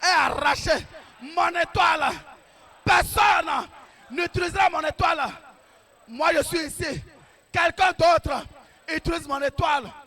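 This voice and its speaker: High-pitched gravelly preacher shouting prayers. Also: male voice, unhurried, pauses often, a faint crowd. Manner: repetitive declarations, fervent commanding tone.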